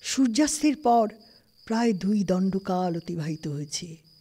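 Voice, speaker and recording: A woman narrating a literary story in an expressive, scripted style. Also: mid-range voice, unhurried, slight background noise. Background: music.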